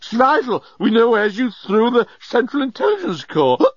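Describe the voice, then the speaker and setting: A man voicing a dreadful, bullying male alien journalist in an audiobook, urgent and exasperated, often yelling. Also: sits high, big pitch swings, brisk, breathy edge, no room sound, lo-fi sound, pitched above the performer's own voice, full character voice. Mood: alarmed.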